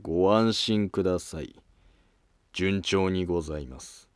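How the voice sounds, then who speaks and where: A man's voice: sits low, a little slow, dry recording, clean studio sound. A man playing a polite, probing court noble in a historical drama, speaking in formal, deferential, insinuating phrases. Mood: cautioning. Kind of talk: scripted historical drama dialogue.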